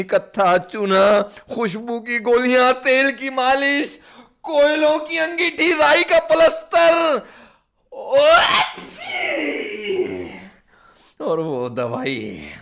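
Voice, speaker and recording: An exasperated invalid in a radio play, fed up with everyone. His voice sits high and he speaks slowly, groaning and complaining with theatrical sarcasm. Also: a bit bright, exaggerated voice. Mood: groaning despair. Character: exasperated sick man fed up with visitors' remedies.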